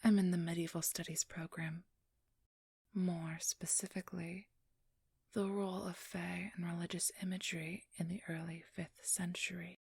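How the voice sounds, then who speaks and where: Woman doing a sly haughty vampire-ish thief lady for asmr, super breathy whisper, close dry sound, formal n condescending. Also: fairly low pitch, flat delivery, moderate pace, a little mellow, dry recording, exaggerated voice. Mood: scholarly, assured. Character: cunning, haughty female supernatural thief posing as scholar.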